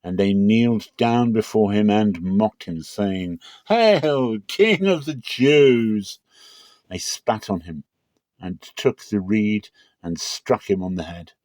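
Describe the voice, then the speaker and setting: Low-voiced man reading scripture. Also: steady tone, warm tone, no echo. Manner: measured, slows for emphasis. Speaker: Bible reader.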